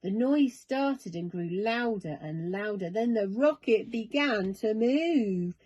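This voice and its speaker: A woman narrating a children's bedtime story in an expressive, measured, dramatic way. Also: medium pitch, dull audio.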